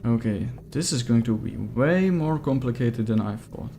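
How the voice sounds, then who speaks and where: Mellow-voiced man with a Czech accent. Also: medium pitch, lively intonation, gravelly, slight background noise. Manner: hesitant, pauses to search for English words. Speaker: game streamer. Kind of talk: casual live gameplay stream, answering chat.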